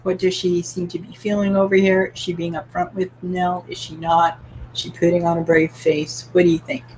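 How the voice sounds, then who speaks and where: A woman's voice: lowish voice, flat delivery, moderate pace, darkish tone, a little hiss or hum, poor audio. A woman from Canada hosting a live-streamed book club, asking open questions and reading viewer comments aloud with light laughter.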